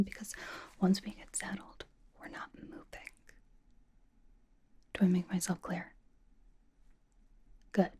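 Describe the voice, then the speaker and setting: A woman's voice: fairly low pitch, dark tone, a little hiss or hum, natural voice. A woman playing a blunt, doting young pop idol in a whispered ASMR roleplay, with a casual, dry, slangy delivery.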